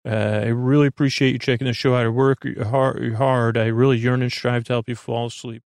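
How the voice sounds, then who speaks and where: A man with a creaky voice hosting a sleep podcast, talking in a meandering, hesitant monologue that trails off into tangents. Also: medium pitch, flat delivery, fairly quick, a little mellow, no room sound, clean studio sound. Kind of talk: rambling monologue to help listeners sleep.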